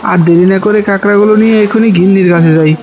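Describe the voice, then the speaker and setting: A man's voice: sits high, steady tone, rapid-fire, darkish tone, close to an everyday voice, rough recording. A man voicing a cheerful, plain-spoken village husband in a cartoon fairy tale. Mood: pleased.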